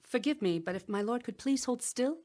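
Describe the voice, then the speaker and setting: An actress playing a clever, composed young bride of a sultan in a radio drama, speaking formally and deferentially. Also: female voice, a little high, expressive, moderate pace, a little mellow, close to an everyday voice.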